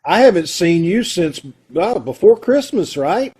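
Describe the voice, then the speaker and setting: A man with a somewhat high voice hosts a community troupe's online show. He talks in a chatty, folksy way, addressing viewers and guests casually over a video call. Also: big pitch swings, measured pace, darkish tone, breathy edge. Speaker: host of an amateur radio theatre troupe's online show. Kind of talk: casual welcoming chat to an online audience.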